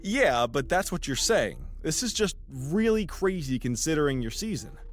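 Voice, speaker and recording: Animated, needling male podcast host. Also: on the high side, moderate pace, soft background music. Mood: incredulous. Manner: sarcastic, probing. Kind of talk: naturalistic audio drama acting as podcast banter.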